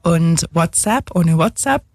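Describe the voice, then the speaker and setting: A woman from England, speaking German as a second language with an English accent, talks haltingly in a casual interview, searching for words and slipping in English ones. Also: fairly low pitch, lively intonation, no room sound. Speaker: guest, single mother.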